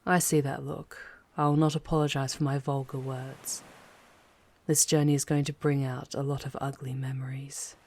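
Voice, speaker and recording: A woman playing a charming, poised female demon guide in an intimate ASMR roleplay, speaking in a measured way with breathy, hushed touches. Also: very deep voice, little pitch movement. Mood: pensive, gentle. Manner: measured, deliberate, often dropping into breathy whispers.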